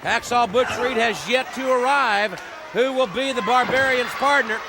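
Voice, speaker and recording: A man's voice: extremely high pitch, normal speed, background noise. An American man giving emphatic play-by-play as host of a televised wrestling show. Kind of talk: live play-by-play sports commentary. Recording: old TV broadcast with music and crowd behind. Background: music and arena crowd.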